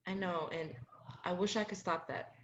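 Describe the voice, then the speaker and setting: Young actress as caring best friend, lower-pitched, gentle, supportive, muffled lo-fi student play recording. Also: female voice, fairly even intonation, moderate pace, a little hiss or hum, natural voice. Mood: gentle, reassuring. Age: young adult. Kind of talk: student one-act play acting. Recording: dull lo-fi audio.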